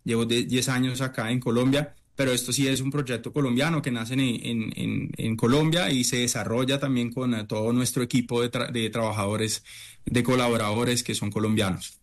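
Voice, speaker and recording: A middle-aged man from Italy, a chef, answering interview questions in a halting way, full of fillers and restarts. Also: mid-range voice, fairly even intonation. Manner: hesitant, many fillers and restarts.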